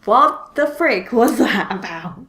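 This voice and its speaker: A woman's voice: a little high, expressive, takes it slowly, a bit bright. Chatty woman repeating herself in film talk. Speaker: podcast co-host. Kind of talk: casual conversation about films.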